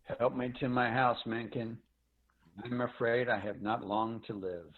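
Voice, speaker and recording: A man's voice: medium pitch, little pitch movement, unhurried, close to an everyday voice. Man acting worn, bitter spacefarer over tinny connection. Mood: weak, resigned. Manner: weary, bitter, theatrical emphasis. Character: Grito, worn, starved, bitter old spacefarer near death. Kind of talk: dramatic reading of a science fiction story. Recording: tinny, narrow-band video call audio.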